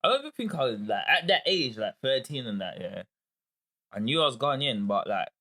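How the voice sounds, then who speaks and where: A man, a podcast co-host, telling stories in a casual, animated way. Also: mid-range voice, brisk. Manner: animated, storytelling, laughs often. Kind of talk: casual chat.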